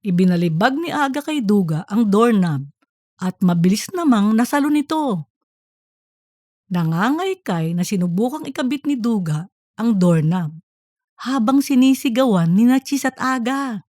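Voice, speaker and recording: High-pitched woman narrating a story. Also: lively intonation, measured pace, a little mellow, no room sound, clean studio sound. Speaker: audiobook narrator.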